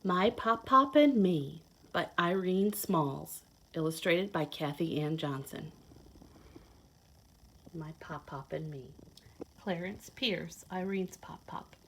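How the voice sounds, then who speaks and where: Slow-paced woman reading a picture book aloud. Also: on the low side, a little hiss or hum, rough recording. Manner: playful, expressive, sing-song rhyme reading; simple child-directed explaining. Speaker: children's read-aloud host, teacher. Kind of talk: picture book read-aloud with asides to children.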